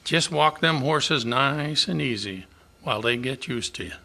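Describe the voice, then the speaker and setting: An amateur actor playing a cowboy trail boss in a western radio drama. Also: male voice, mid-range voice, unhurried, vocal fry, pushed and bright, exaggerated voice. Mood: easygoing.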